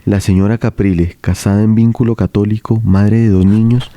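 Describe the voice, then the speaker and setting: An actor voicing a judgmental church case file, reading it formally and solemnly in an audio drama. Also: male voice, fairly low pitch, level tone, moderate pace, mellow, lightly acted. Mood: solemn, stern.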